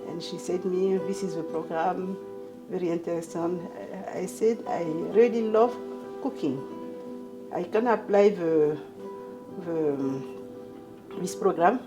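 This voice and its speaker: A middle-aged woman from Ivory Coast with an Ivorian French accent, telling her own story in halting, limited English as a native French speaker. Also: lowish voice, over music, poor audio. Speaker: documentary interviewee, newcomer immigrant. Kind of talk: personal account in an interview.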